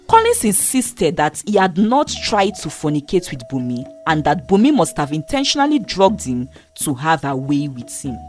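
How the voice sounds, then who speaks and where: A woman narrating a moral folktale in a steady, expressive storytelling manner. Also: deep voice.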